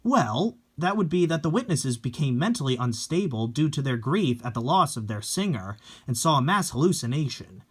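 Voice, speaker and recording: A man playing a measured, skeptical psychologist explaining things pedantically as a TV expert. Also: medium pitch, big pitch swings, slightly rough. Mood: matter-of-fact.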